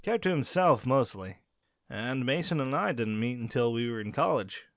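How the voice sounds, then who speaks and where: A man voices a friendly young werewolf who talks softly and reassuringly to you, his tone rising and falling with real animation. The close mic sounds completely dry. He stays easygoing and caring. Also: medium pitch, animated, moderate pace, no room sound, close to an everyday voice. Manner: gentle, reassuring, speaks directly to the listener. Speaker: audio roleplay character. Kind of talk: scripted audio roleplay addressing the listener.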